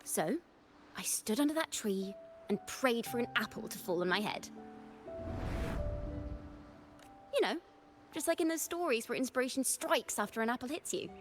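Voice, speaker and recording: A woman plays a cheerful young wandering bard from a video game. Her voice is high and very expressive, swooping through whimsical, lyrical phrases with theatrical flair. Music plays clearly behind her. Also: fairly quick, close to an everyday voice. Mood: bright, playful. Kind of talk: scripted video game voice acting. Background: background music.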